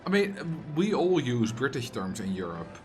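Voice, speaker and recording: A young man speaks English with a Dutch accent at an ordinary mid-range pitch, chatting loosely while he plays a game on stream. He reads viewer messages aloud and answers them with jokes, and he sometimes breaks into loud, exasperated complaints. Faint game music plays quietly behind him. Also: very animated, normal speed. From Netherlands. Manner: bursts into loud exasperated rants. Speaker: livestreamer. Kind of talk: casual gameplay commentary on a live stream.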